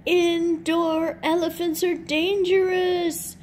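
A woman voicing a tiny, dim, alarmist female chicken in a squeaky, squawking storybook voice. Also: level tone, takes it slowly, darkish tone, slight background noise. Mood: stern warning.

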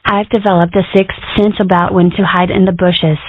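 A woman's voice: on the low side, little pitch movement, moderate pace, breathy edge, no echo. Tinny-sounding scheming fox girl played by a woman. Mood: matter-of-fact. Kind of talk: comic strip dub. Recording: muffled narrow phone-like sound.

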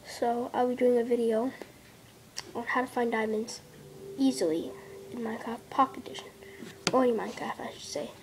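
Young girl, high-pitched, rambling gameplay talk, filler-heavy, sometimes whispering, faint noise. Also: steady tone, moderate pace, long pauses. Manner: rambling, lots of "like" fillers, drops to half-whispers. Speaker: gameplay vlogger. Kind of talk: casual gameplay commentary. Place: indoors at a table. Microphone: device camera filming a handheld screen.

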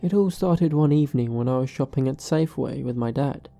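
A woman reading a scripted first-person horror story in a measured storytelling manner. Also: a bass voice, vocal fry, dark tone.

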